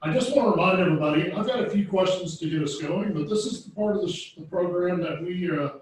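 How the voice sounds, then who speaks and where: High-voiced man moderating, echoey room. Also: fast. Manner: easygoing, inviting. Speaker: panel moderator. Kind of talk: moderating a panel. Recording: echoey room, distant mic.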